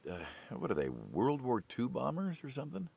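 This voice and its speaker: A man's voice: medium pitch, wildly swooping pitch, moderate pace, gravelly, a little mellow, slightly rough, full character voice. A man playing a friendly, inquisitive, wryly skeptical middle-aged American mobster in naturalistic video game dialogue. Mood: curious, teasing.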